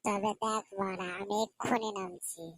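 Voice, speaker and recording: A cheeky village boy from a comic cartoon, voiced by a woman, bickering in colloquial speech. The pitch sits in the middle, and the manner is boastful and taunting, like a childhood friend who loves to argue. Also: fairly even intonation, moderate pace, over loud music, lightly acted. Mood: defiant, boastful.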